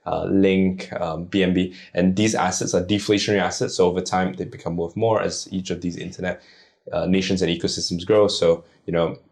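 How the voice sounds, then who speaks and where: A man's voice: sits low, little pitch movement, fairly quick, vocal fry, a little mellow. A man from Singapore, a guest on a podcast interview, explaining his ideas persuasively in long run-on lists. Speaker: guest, tech founder.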